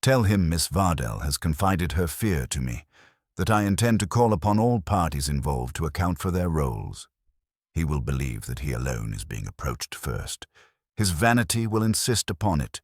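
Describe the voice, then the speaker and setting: A man's voice: rumbling low, normal speed, husky, dry recording, studio quality, full character voice. A man playing a stern, calculating older detective with a heavy voice and formal, commanding delivery in a mystery story. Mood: grave, commanding. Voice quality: heavy, weighty. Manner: formal, flat, commanding pronouncements. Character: stern, calculating older male detective genius, imperious and unhurried.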